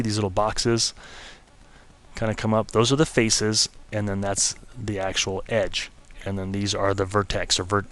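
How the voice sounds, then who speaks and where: A man giving a relaxed, step-by-step screen-recorded software tutorial, slightly losing his voice and clearing his throat now and then. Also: fairly low pitch. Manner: relaxed, step-by-step explaining with casual fillers.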